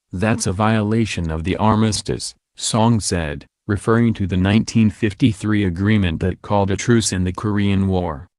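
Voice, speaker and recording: A man delivers a scripted news narration in a fairly low voice. His reading is formal and sticks closely to the written copy. The sound is dry, with no room reflections. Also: a little mellow, no room sound.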